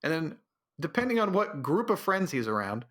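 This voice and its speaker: A man's voice: on the high side, sing-song, moderate pace, a bit bright. A man hosting a solo podcast, delivering a sarcastic, rambling comedic rant. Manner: sarcastic, rambling, drops into mocking voices. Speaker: podcast host.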